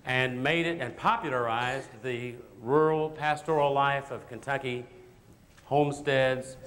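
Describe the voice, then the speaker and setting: A man's voice: a little high, a bit bright. A man hosting a stage show, introducing songs to an audience as an announcer. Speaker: host of a school stage radio show. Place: large indoor hall, live performance. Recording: noisy recording in a reverberant hall.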